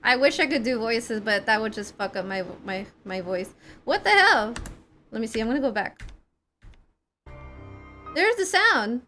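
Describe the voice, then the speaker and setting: High-voiced woman streaming, music behind. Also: expressive, moderate pace, pauses often, sharp tone. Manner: informal, swears casually. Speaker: game streamer. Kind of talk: live game reaction, reading cutscene dialogue aloud. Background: game music.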